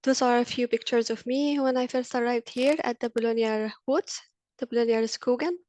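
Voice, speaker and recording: A woman's voice: a little high, steady tone, normal speed, darkish tone. A woman in her thirties from Egypt, a university lecturer, giving an earnest webinar presentation with frequent ums and uhs. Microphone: video call.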